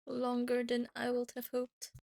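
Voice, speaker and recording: A woman's voice: fairly high pitch, monotone, a little slow, creaky, darkish tone. A woman game streamer talking casually to her live chat.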